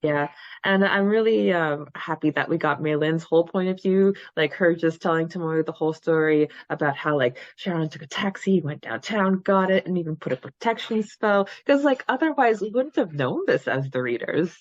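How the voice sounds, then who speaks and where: A woman co-hosting a podcast in casual fan chat, animated and halting as she thinks aloud, on a slightly lo-fi recording. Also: fairly low pitch, dry recording. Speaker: podcast co-host.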